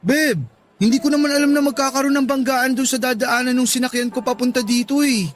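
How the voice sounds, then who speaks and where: A man's voice: extremely high pitch, steady tone, a little fast, a little hiss or hum. A man playing an apologetic, pleading young boyfriend in a radio drama, coaxing and eager to please. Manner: placating, coaxing.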